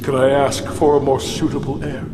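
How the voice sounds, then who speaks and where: A man's voice: mid-range voice, completely flat delivery, background noise, close to an everyday voice. An actor playing an ailing, cruel old baron in a video game, bitter and menacing, drawing out his words with theatrical relish. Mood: bitter, irritable. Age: older. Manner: menacing, drawn-out, savoring cruelty. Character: sick, cruel old male tyrant baron, bitter and gloating. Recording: roomy echo, loud music behind.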